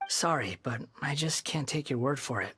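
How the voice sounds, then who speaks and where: A man voicing a polite, guileless young wanderer, soft-spoken and unassuming, in a video game. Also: mid-range voice, animated, moderate pace, slight background noise. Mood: puzzled, polite.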